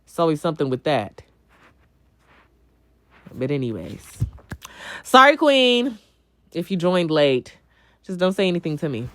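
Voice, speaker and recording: A woman's voice: deep voice, big pitch swings, unhurried, pauses often, fairly bright tone, no room sound. Young woman livestreamer, blunt, close dry sound. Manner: blunt, profane, slangy; repeats phrases for emphasis. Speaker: livestream host. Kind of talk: casual livestream chat, answering viewers' comments.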